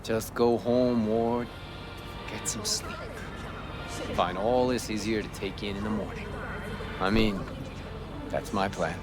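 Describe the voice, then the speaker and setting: A man's voice: lowish voice, expressive, slow pace, pauses often, over loud music. A man playing a cynical, world-weary corrupt cop in a video game, with an American accent and scornful, lecturing street talk. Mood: dismissive.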